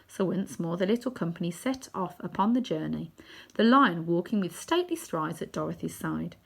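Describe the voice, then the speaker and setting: Expressive woman reading a children's story. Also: lowish voice, normal speed, vocal fry. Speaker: storybook reader.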